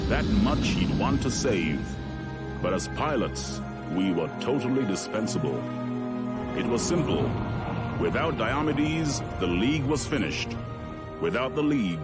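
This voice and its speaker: An actor playing a solemn veteran rebel pilot, narrating a video game cutscene in a grave, deliberate, portentous way. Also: male voice, mid-range voice, animated, close to an everyday voice. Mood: weary, grim, then solemn resolve. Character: solemn male war veteran pilot of rebel colonial fleet. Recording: voice under loud background music. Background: loud music.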